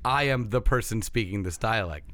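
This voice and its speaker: A man's voice: fairly low pitch, expressive, moderate pace, a bit bright, no room sound. A man co-hosting a podcast, teasing and incredulous in casual banter. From Ireland. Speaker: podcast co-host.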